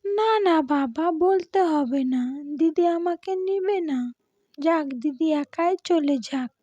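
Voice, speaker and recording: A woman's voice: very high voice, slow, mellow, no room sound, highs cut off. A woman voicing a sweet, sulky little girl in a cartoon, with a pleading, childlike manner.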